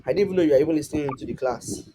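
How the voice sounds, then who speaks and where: A man with a husky voice, teaching a live online class in a rambling way, full of restarts and fillers. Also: fairly high pitch, very fast, over music. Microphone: online call.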